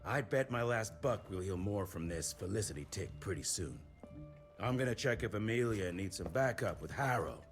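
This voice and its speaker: An actor playing a gruff male monster-hunter agent with a wry, laconic manner. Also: deep voice, expressive, moderate pace, soft background music. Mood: thoughtful. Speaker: cutscene character.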